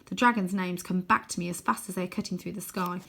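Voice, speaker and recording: A woman reading a children's novel aloud as expressive narration, with dramatic, animated emphasis. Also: on the low side, fast, gravelly.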